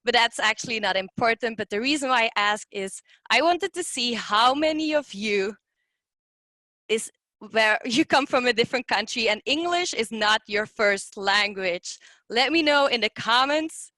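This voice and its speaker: A woman's voice: fairly high pitch, animated, normal speed, bright, forceful tone, no room sound. A Belgian woman whose first language is Dutch, speaking English with a Dutch accent, earnestly telling her story as a community manager. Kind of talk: personal story and class instructions.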